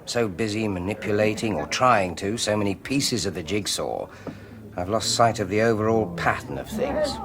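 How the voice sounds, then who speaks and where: An actor playing a sardonic, bitter British research scientist of about forty in a radio drama, speaking in a clipped, brooding way. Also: male voice, on the low side, moderate pace, over a noisy crowd, natural voice. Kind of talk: 1980s BBC radio drama acting.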